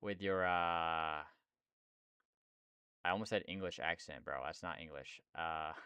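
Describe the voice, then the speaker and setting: A man's voice: low-pitched, measured pace, pushed and bright, clean tone, dry recording. A young man streaming and chatting casually about game development, slangy and rambling with lots of fillers. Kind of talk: casual chat.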